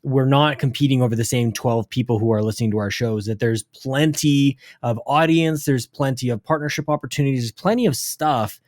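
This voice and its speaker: A man hosts a gaming podcast in a relaxed conversational tone, thoughtful and reflective as he talks. His voice sits at a middle pitch, and the recording is dry and close. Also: brisk, hardly any pauses, no room sound. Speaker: podcast host. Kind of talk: casual conversation.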